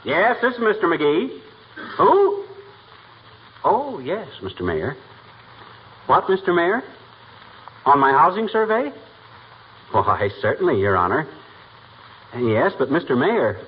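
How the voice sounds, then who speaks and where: Blustery middle-aged braggart, 1940s radio comedy, very animated folksy delivery, muffled vintage sound with light hiss. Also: male voice, a little high, slow, long pauses. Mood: flustered, deferential. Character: blustering, boastful middle-aged husband spinning tall tales. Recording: dull, noisy 1940s radio recording.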